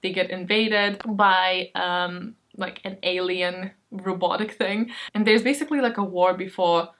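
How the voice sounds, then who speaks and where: A woman's voice: lowish voice, fairly even intonation, unhurried, a bit bright. Chatty, self-deprecating woman vlogger. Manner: rambling, self-deprecating, giggly. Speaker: book vlogger and host. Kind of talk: casual chatty vlog to camera.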